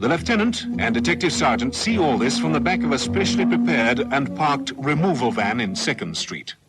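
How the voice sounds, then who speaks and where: A man's voice: medium pitch, very animated, a little fast, natural voice. A South African man narrating a police radio serial in a scripted, dramatic style. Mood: measured suspense. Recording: vintage radio recording, loud music.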